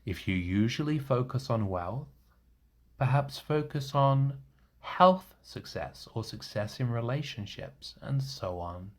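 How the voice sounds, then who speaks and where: A man leading a guided meditation in a gentle, reassuring manner, leaving long pauses between short phrases. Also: medium pitch, expressive. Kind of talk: guided meditation, soothing instruction.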